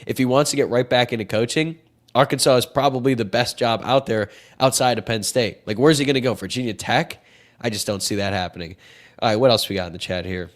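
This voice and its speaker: A young man with a stuffy, cold-blocked nose talks in an easy, chatty way as a sports podcast host. He answers viewers' questions with firm opinions and quick side remarks. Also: medium pitch.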